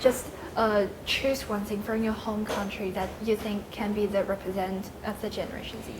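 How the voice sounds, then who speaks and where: A woman's voice: mid-range voice, little pitch movement, normal speed, noisy background. A woman hosting and moderating a round-table discussion, with slightly unidiomatic phrasing. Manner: brief backchannel replies, slightly unidiomatic phrasing. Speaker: host.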